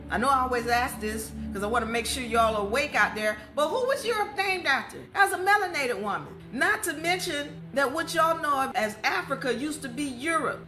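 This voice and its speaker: A woman giving an impassioned, informal history lecture in an emphatic, didactic way, often asking rhetorical questions. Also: sits high. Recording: music under the voice. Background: music.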